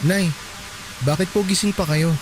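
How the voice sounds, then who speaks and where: Lalaking gumaganap na magalang na binata, mataas-taas ang boses, may musika. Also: male voice, high voice, fairly even intonation, moderate pace, over music, lightly acted, rough recording. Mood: polite, earnest. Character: respectful, patient, hopeful poor young working son. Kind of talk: Tagalog radio drama acting.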